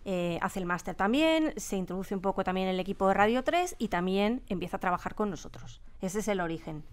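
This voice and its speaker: Middle aged spain woman, castilian accent, smooth clear voice, explaining stuff haltingly. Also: medium pitch, animated. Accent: Castilian Spanish. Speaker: radio drama sound designer, interview guest. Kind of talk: conversational interview answers. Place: radio studio.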